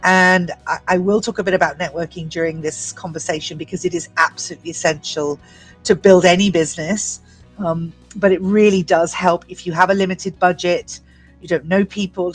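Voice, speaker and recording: A woman in her fifties, from the UK with an English accent, telling her story as an entrepreneur in a measured, articulate way. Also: on the low side, steady tone, faint music behind. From United Kingdom. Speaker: guest entrepreneur. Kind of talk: prepared personal story.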